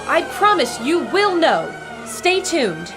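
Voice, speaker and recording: A woman's voice: sits high, sing-song, takes it slowly, over music, heavily acted. A woman playing an intrepid, determined 1920s radio reporter in an old-time audio drama, with a proclaiming, plucky delivery. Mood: alarmed, urgent. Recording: noisy ambience behind the voice.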